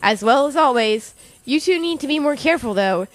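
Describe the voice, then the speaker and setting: A woman's voice: high voice, expressive, fairly quick, noisy background. A woman playing a caring but stern college professor in an audio drama, proper and motherly. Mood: brisk, kindly.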